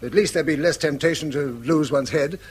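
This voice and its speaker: An actor playing a priggish, moralising English husband in a stiff, righteous radio drama manner. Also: male voice, on the high side, fairly quick, husky. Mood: stern, disapproving. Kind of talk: British radio drama acting.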